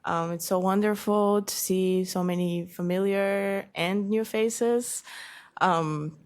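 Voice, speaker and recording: A woman's voice: medium pitch, steady tone, takes it slowly, a little mellow. A woman hosting a seminar, welcoming the audience hesitantly before introducing the guest speaker. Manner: hesitant, many fillers, then reads bio. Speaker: seminar host. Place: lecture room.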